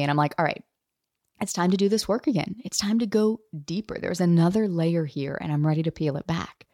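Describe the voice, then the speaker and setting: A woman in her thirties hosts her own podcast, telling a personal story straight to the listener. Her voice sits a little low, and she slips into the voices of the people she quotes. The sound is dry and close, with no room around it. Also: no room sound. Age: 30s. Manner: animated, acts out quoted dialogue. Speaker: podcast host.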